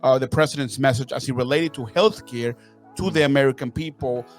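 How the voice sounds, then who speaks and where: A man's voice: mid-range voice, a little fast, faint music behind. A man in his thirties from Venezuela, talking as a podcast guest in an emphatic, upbeat, motivational way. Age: 30s. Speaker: podcast guest, marketing consultant. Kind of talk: casual interview talk.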